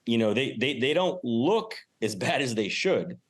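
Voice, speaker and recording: A man's voice: mid-range voice, sing-song, measured pace, husky. A man speaking as an interview guest in casual, emphatic, informal talk about his own experience. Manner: emphatic, informal, mild swearing. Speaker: podcast guest, real estate investor and business owner.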